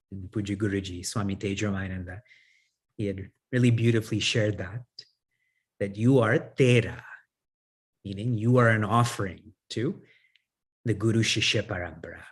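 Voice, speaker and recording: A man's voice: fairly low pitch, steady tone, moderate pace, long pauses, slightly rough. A man giving a spiritual discourse as a teacher, who asks the audience questions, answers them himself and chuckles often. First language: English. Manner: chuckles often while talking.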